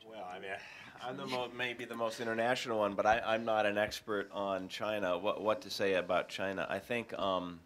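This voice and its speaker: A man with a fairly deep voice and a very even, flat intonation. He answers like an academic on a panel, matter-of-fact and hedging as he thinks aloud. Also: normal speed, few pauses, slight background noise.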